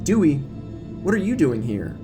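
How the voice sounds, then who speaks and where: A man's voice: on the high side, big pitch swings, moderate pace. A man voicing a pushy, brazen female TV reporter in an audio drama. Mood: defiant. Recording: music bed behind the voice.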